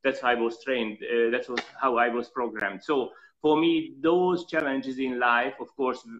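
A man with a slight European accent recounts his life story as an interview guest. He talks earnestly at an even middle pitch and hesitates on fillers often. The audio is dull and lo-fi. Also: slight background noise. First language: not English. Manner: earnest, reflective, halting with fillers.

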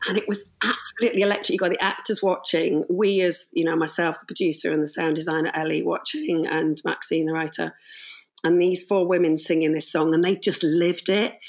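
Croaky-voiced woman, lowish. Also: steady tone, a little fast. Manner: warm storytelling, emotional at moments. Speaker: radio drama producer and director. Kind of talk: casual podcast conversation.

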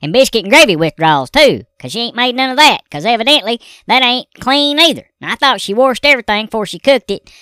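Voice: mid-range voice, expressive, fast talker, hardly any pauses, sharp tone, clear, resonant tone, no room sound, studio quality. A folksy Southern redneck husband. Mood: grumbling folksy. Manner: folksy drawl, rambling storytelling with gripes. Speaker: recurring comedy character. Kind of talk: comedic character monologue.

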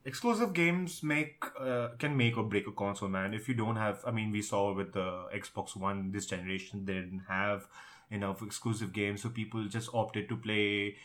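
A man with a fairly low voice chats about tech as a podcast co-host. He is casual but emphatic, stating opinions firmly and restating them for stress. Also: normal speed, a bit bright.

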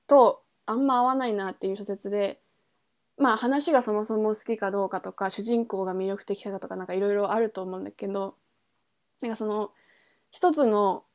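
A woman podcast host talking casually and informally, thinking aloud and correcting herself as she explains things. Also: medium pitch. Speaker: podcast host introducing a book. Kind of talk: casual chat explaining a novel.

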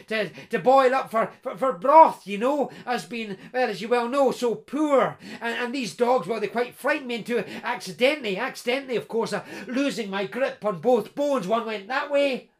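A woman's voice: on the high side, natural voice. A woman voicing a timid poor young man who stammers and hesitates.

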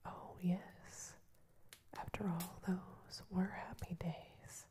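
A woman's voice: lowish voice, flat delivery, very slow. A woman whispering the part of a lonely, wistful fir tree that looks back on its happier days in a storybook reading. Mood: reminiscing.

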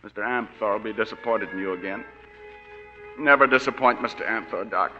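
An actor playing a hard-boiled private detective with dry, sardonic wisecracks, in 1940s radio drama. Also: male voice, on the low side, brisk, soft background music, close to an everyday voice. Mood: wry, tough. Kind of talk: 1940s radio drama with first-person narration. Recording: muffled 1940s radio broadcast with long reverb.

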